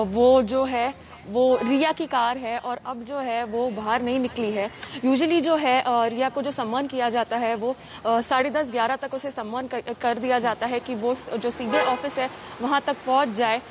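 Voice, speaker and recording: A woman giving a live on-location news report outdoors, with hesitant, repetitive phrasing. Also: a little high. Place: outdoors on a street outside an apartment building. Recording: noisy outdoor live feed.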